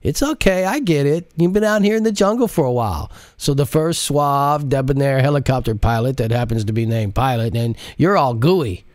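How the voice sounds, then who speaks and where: A man's voice: medium pitch, animated, normal speed, hardly any pauses, a little mellow, no echo, studio quality, pitched above the performer's own voice, close to an everyday voice. A man voicing a cheeky young male assistant who teases in a mocking, sing-song way. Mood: teasing.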